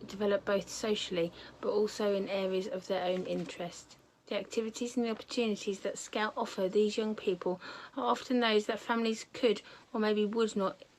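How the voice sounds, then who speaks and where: A woman's voice: medium pitch, slight background noise, poor audio. A woman who is a school head, reading a prepared testimonial with careful, formal phrasing. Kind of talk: scripted testimonial.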